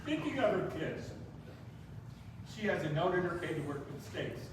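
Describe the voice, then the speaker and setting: Man, a bit higher-pitched than most, reading a family tribute at a celebration; halting, restarts words; distant mic in a very echoey room with clear background noise. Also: very animated. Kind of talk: reading a prepared life story aloud. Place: birthday celebration in a large room.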